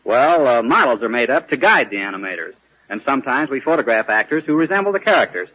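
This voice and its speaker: Man, fast-talking, slightly rough voice, formal interview guest, earnest, dull old radio recording. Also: medium pitch, very animated, bright, forceful tone. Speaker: animation director guest. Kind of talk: prepared 1940s radio interview. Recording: dull vintage 1940s radio broadcast.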